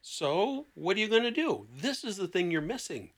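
A man speaking conversationally as a therapist on a podcast panel, blunt and emphatic, with deliberate pauses and rhetorical questions. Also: high voice, sing-song, fairly bright tone. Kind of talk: conversational podcast talk with acted-out client dialogue.

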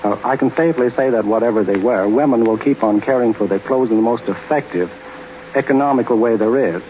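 A man giving measured, formal, slightly halting answers as a guest in a scripted 1930s radio interview. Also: medium pitch, warm tone. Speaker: interview guest, fashion and model expert. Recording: muffled, noisy 1930s radio broadcast.

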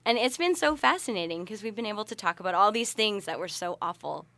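A woman answers as a guest in a casual interview. She is articulate and open, with a matter-of-fact way of talking and a medium pitch. The recording is dry and close. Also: animated, quick pace, bright, forceful tone, no room sound. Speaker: podcast guest, researcher who runs parties.

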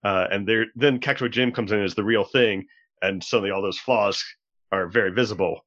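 Lowish-voiced man on slightly dull audio. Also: brisk, fairly bright tone. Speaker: podcast participant. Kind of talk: casual discussion.

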